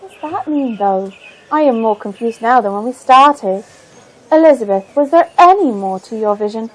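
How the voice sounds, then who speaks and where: An actress plays a bewildered, anxious woman in a fantasy radio drama. The voice is high and questioning. It carries urgency and confusion. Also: expressive, moderate pace, poor audio. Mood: bewildered, urgent. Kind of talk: fantasy audio drama acting.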